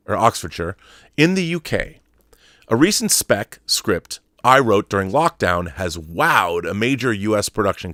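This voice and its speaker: A man's voice: on the low side, a bit bright, no echo, clean studio sound. A man hosting an online show, reading an article aloud in an emphatic storytelling way and adding explanatory asides.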